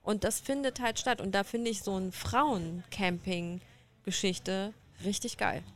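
Woman guest from Germany, frank and direct, mid pitch. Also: fairly even intonation, measured pace. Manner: frank, outspoken. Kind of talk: casual discussion.

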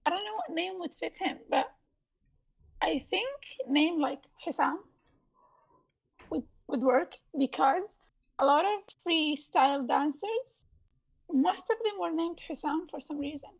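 A woman co-hosting a casual chat podcast, reacting quickly and laughing often. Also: very high-pitched, expressive, gravelly, rough recording. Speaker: podcast co-host.

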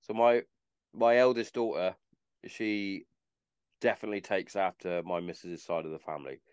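A man's voice: deep voice, normal speed, darkish tone, smooth, no echo. A man in his mid-thirties in casual chat, blunt and sardonic, swearing freely. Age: 30s.

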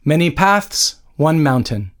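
A man putting on a glib, higher-pitched show host. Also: very animated, slow, fairly bright tone, full character voice. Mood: sage.

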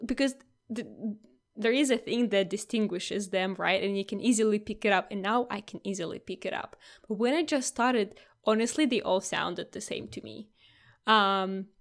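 Young woman, Russian native, accent softened by years in Australia. Easygoing chatter, lots of restarts. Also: mid-range voice. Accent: Russian, mixed with Australian. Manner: chatty, often restarts and laughs between thoughts. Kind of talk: casual chat.

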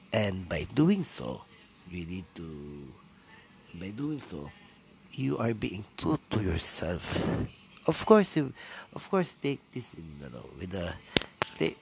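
A man's voice: deep voice, gravelly, a little hiss or hum. A Filipino man whose first language is Tagalog, hosting a solo podcast in English, rambling with many fillers and restarts. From Philippines. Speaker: podcast host.

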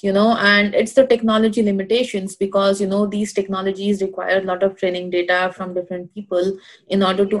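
Indian lady guest on a podcast, middle pitch, lots of uh and you know. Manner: many fillers, thinks aloud with "you know" and "uh". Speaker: guest expert. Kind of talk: casual conversational interview.

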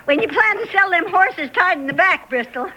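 A woman's voice: extremely high pitch, expressive, moderate pace. A woman playing a plain-spoken, inquisitive frontier companion in a radio western, with a folksy rural Western American accent. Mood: wry, eager. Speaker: actor. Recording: noisy vintage radio broadcast.

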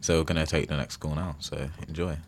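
A man with a low voice presents a community radio show from the studio. He talks in a loose, chatty way, restarting sentences and filling gaps with ums and yeahs. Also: steady tone, fast. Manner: chatty, rambling with restarts and fillers.

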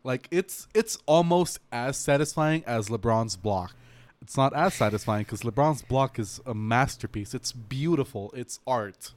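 A man's voice: mid-range voice, animated, measured pace. A man in casual sports banter, brash and profane, trash-talking his friends.

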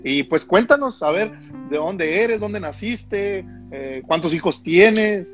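A man's voice: high voice, animated, slow, lo-fi sound, soft background music. A man hosting a casual livestream interview, friendly and encouraging. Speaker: podcast host.

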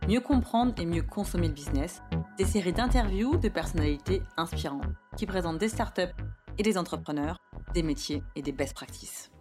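A woman's voice: on the low side, animated, normal speed. A woman reading a scripted podcast intro in a promotional way. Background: music.

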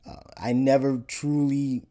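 A man in his thirties from Nigeria, a podcast host explaining his family background in a relaxed interview chat, often repeating words as he thinks. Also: medium pitch, a little slow.

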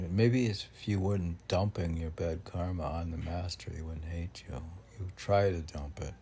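A man with a gravelly, husky voice reading his own poems, with halting, self-deprecating commentary in which he talks back to himself. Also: low-pitched, mellow, a little hiss or hum. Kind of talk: poem reading mixed with rambling self-commentary.

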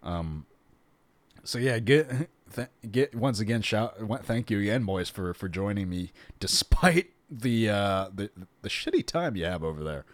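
A man's voice: lowish voice, big pitch swings, unhurried, no echo. A man hosting a casual podcast interview, rambling with frequent restarts and laughter. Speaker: podcast host.

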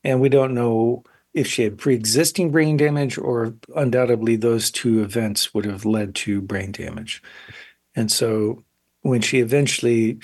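Man in his sixties, husky voice, reflective, halting, searching for words. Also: mid-range voice, a little mellow. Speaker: painter, interview guest. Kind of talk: reflective interview talk.

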